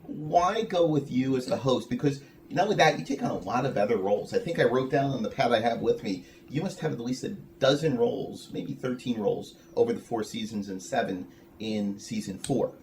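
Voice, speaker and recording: A man's voice: mid-range voice, fairly quick, darkish tone. A man hosting a casual podcast interview, talking in a wordy, emphatic way with repeated phrases. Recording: noticeable background room noise.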